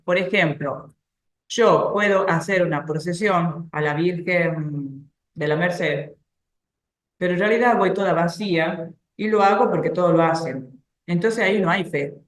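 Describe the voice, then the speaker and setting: A woman giving an informal faith talk, explaining ideas through everyday examples and soft laughs. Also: fairly low pitch, reverberant.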